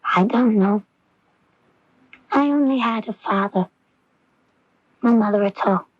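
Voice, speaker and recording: An actress playing a troubled, frightened young woman with a childlike air, speaking haltingly in a radio drama. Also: medium pitch. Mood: wistful, puzzled. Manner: halting, dreamy, hushed delivery. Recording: dull 1980s AM radio recording, music behind. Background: music.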